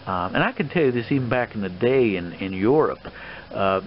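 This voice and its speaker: A man's voice: fairly low pitch, lively intonation, a little fast, close to an everyday voice. A man playing an elderly colonel and swashbuckling gambler in an ASMR role-play, courtly and boastful, with a folksy, rambling, hesitant delivery. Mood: fatherly, cautioning. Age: older. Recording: dull lo-fi sound with clear background noise.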